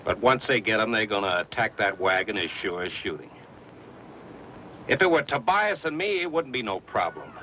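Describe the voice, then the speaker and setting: A man's voice: fairly low pitch, sing-song, normal speed, bright, forceful tone. An actor playing a firm, wary American frontiersman with terse, folksy speech in a western radio drama. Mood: wary, reasoning. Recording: muffled lo-fi radio recording, background hum.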